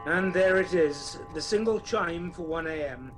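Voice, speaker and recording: A man's voice: fairly high pitch, measured pace, over music, natural voice. A man acting as narrator of a radio play, telling a ghost tale in a dramatic, wry storytelling manner. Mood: suspenseful.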